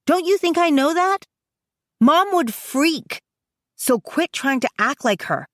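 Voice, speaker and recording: A woman's voice: a little high, unhurried, no echo, clean studio sound, pitched above the performer's own voice, natural voice. A woman voicing a fiercely protective college-age sister in an audiobook, emotional and exasperated, with an emphatic, pleading delivery. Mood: exasperated, scolding. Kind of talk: dramatised audiobook dialogue.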